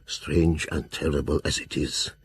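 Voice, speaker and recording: An actor playing a grave, courteous older professor in an audio drama, reading his letters aloud in a formal, deliberate way. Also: very deep voice, steady tone, husky, full character voice. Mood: earnest.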